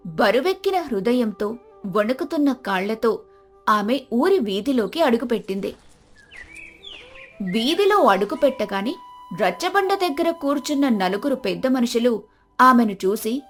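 A woman tells a moral tale as scripted, dramatic narration. Her voice sits fairly high, and music plays clearly behind her. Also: expressive, measured pace. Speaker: story narrator.

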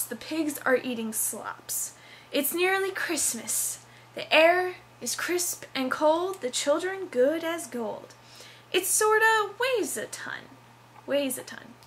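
A woman's voice: high voice, a bit bright, slight background noise, heavily acted. A young woman playing an earnest, over-dramatic narrator, declaiming verse from a Christmas stage show. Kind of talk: dramatic reading.

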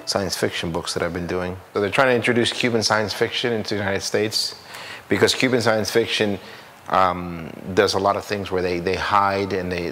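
A man in his forties from Cuba, an artist speaking in a documentary interview, reflective and halting, with frequent restarts. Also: low voice, fairly bright tone. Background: music.

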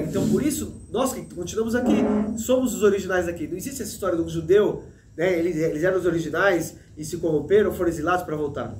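High-pitched guy in his 30s teaching torah, animated, desk mic in an echoey small room. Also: a little hiss or hum. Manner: animated, gesturing, with many restarts. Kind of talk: Torah lesson. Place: small room with a plain wall, indoors. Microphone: desk mic in front, medium framing.

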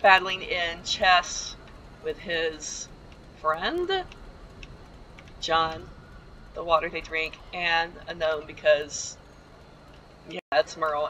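A woman's voice: fairly low pitch, sharp tone, a little hiss or hum, rough recording. A woman giving a rambling, casual voice-over tour of a house built inside a video game, full of ums and side remarks. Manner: chatty and rambling, with frequent ums and asides.

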